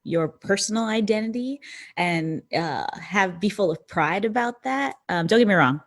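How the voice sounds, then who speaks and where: A woman's voice: mid-range voice, lively intonation, moderate pace. A woman from the Bronx, talking things through earnestly as a podcast guest. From New York City, the Bronx. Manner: reflective and earnest, thinks aloud with fillers. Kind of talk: casual conversation.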